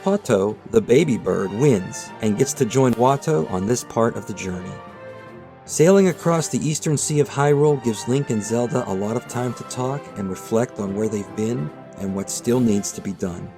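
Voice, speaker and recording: A man reading scripted, storybook-style narration in a measured, dramatic storytelling manner. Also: medium pitch. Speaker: narrator of a manga retelling video. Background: background music.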